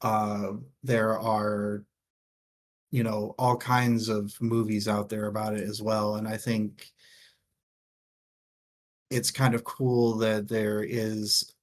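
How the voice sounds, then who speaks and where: A man co-hosting a casual book discussion, speaking in a halting way as he thinks aloud. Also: lowish voice, flat delivery. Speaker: co-host of a book discussion show.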